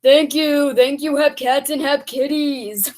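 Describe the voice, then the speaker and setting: A woman's voice: high-pitched, steady tone, takes it slowly, very noisy. A woman playing a slick, showy hepcat bandleader in a 1940s-style radio broadcast, with a pointed, aggrieved edge.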